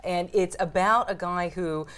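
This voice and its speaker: A woman's voice: on the low side, fairly even intonation, measured pace, a bit bright, clean tone. A woman with an American accent co-hosting a news show in a conversational anchor style.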